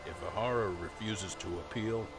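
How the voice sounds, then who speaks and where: A seasoned, sober senior lawyer played by a man in a video game, with a fairly low voice. He is blunt and cautionary, with music clearly behind him. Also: moderate pace, close to an everyday voice. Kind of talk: English game dub dialogue.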